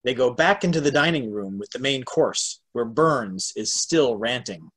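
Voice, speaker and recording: A middle-aged man with a medium-pitched voice reads scripted stage directions. He is narrating an amateur table read from home over a video call. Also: lively intonation, normal speed, fairly bright tone, husky. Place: room at home.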